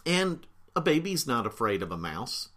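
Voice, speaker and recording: Podcast host: middle-aged man, bright timbre, mildly stuffed up with a cold, unhurried and full of fillers. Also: medium pitch, sing-song. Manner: unhurried, with fillers and frequent throat-clearing. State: slightly congested, with a cold. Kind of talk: casual explanatory talk.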